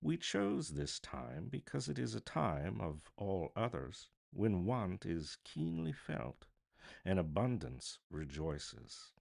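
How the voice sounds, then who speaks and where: A man voicing a courteous, earnest gentleman collecting for charity in a storybook, speaking politely and formally with a pleading manner. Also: low-pitched, very animated, takes it slowly, gravelly, full character voice. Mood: earnest appeal. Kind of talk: storybook character reading.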